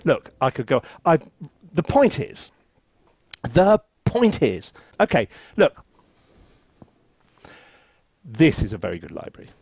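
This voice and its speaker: A man chatting casually as he hosts a software review, with an animated, theatrical and self-mocking manner. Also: mid-range voice, slow pace, gravelly, long pauses, breathy edge, dry recording. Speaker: composer and reviewer, video host.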